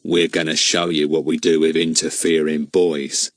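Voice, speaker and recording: A man's voice: rumbling low, little pitch movement, normal speed, no room sound, clean studio sound, pitched below the performer's own voice. A man voicing a nasty-sounding, menacing grown man in a storybook reading.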